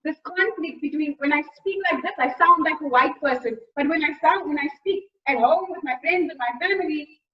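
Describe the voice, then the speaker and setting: A young woman from Cape Town with a Cape Town South African accent, hosting a casual conversation over a video call, talking in lively bursts and restarting her phrases. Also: high-pitched. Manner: lively, quick restarts and repeated words. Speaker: host. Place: small indoor room. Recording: dull lo-fi video call audio.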